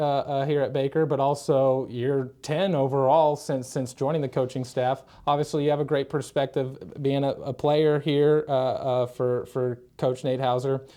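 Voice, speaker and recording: A man's voice: a little high, mellow. A man hosting a sports interview, restarting his questions as he goes. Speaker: sports media day host.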